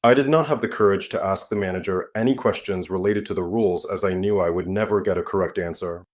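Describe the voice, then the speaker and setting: A man with a fairly low voice tells a first-person scary story. His reading is scripted, steady and even throughout. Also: fast. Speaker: narrator.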